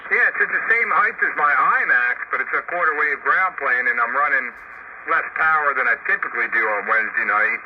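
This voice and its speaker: A man's voice: medium pitch, expressive, a little fast, highs cut off. A man chatting casually and matter-of-factly over two-way radio as an operator talking shop. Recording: noisy, band-limited two-way radio transmission. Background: radio static.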